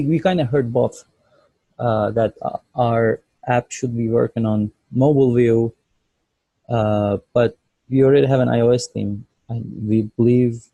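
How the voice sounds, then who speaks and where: A man presents a team update over a video call. His voice is mellow and gravelly, with a slow, halting delivery and a dry, close sound. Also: medium pitch, dry recording, rough recording. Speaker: student developer presenting a team project check-in. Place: indoors at home, on a video call.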